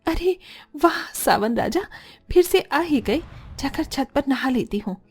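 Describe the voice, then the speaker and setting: Woman as a high-voiced put-upon young daughter-in-law. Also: lively intonation, unhurried, darkish tone, faint music behind. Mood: delighted. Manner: meek to elders, grumbling alone. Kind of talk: Hindi cartoon family drama.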